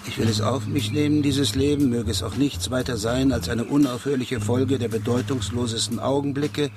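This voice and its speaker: A man's voice: mid-range voice, steady tone, moderate pace, over music, close to an everyday voice. An actor playing a stubborn, remorseful male ex-policeman in a radio crime drama, speaking earnestly. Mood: insistent, incredulous.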